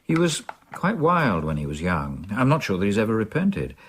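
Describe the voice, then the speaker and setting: Deep-voiced English gentleman solicitor. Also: wildly swooping pitch, noisy background. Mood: troubled, reflective. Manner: polite, formal, measured. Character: courteous, uneasy older gentleman solicitor, loyal friend. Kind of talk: radio drama acting with brooding narration.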